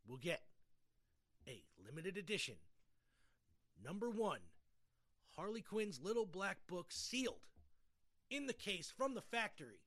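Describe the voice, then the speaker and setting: A man's voice: high-pitched, sharp tone. A middle-aged man hosting a podcast in casual chat, rambling and often repeating his words. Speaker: podcast host.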